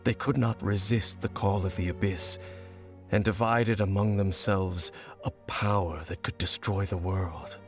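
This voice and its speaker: Grave mysterious wanderer for a video game; low, solemn, measured, faint music bed. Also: male voice, fairly even intonation.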